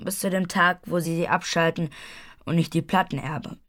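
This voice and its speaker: A woman's voice: fairly low pitch, fairly even intonation, normal speed, fairly bright tone, natural voice. A woman playing a precocious, stubborn twelve-year-old grandson in a radio drama, formal and insistent in manner. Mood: earnest, insistent. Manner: formal, matter-of-fact, insistent. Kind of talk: German radio drama acting.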